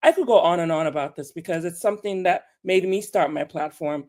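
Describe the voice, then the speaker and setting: A podcaster from Nigeria on a panel discussion, speaking in an animated, emphatic way and pressing rhetorical questions. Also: mid-range voice, a little fast.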